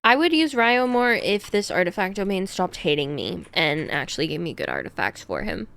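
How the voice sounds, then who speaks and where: A woman's voice: fairly low pitch, sing-song, normal speed, gravelly, fairly bright tone, smooth, no room sound. A woman streamer chatting casually on a livestream, thinking aloud about game builds and laughing often.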